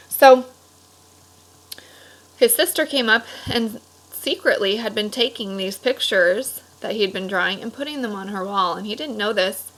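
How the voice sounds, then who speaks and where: A woman's voice: fairly high pitch, a bit bright, rough recording. A woman speaking as an elementary teacher, leading a discussion for children in simple, encouraging words with frequent questions and check-ins. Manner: simple explanatory phrasing aimed at children. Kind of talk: read-aloud discussion lesson for children.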